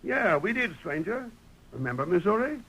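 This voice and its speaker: Skeptical old prospector: gravelly, somewhat high voice, rustic western drawl, folksy grumbling, vintage radio with clear noise. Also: male voice, sing-song, normal speed, sharp tone, heavily acted. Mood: suspicious, curious. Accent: rustic Old West American. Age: older. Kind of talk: 1930s radio western acting. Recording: noisy 1930s radio broadcast.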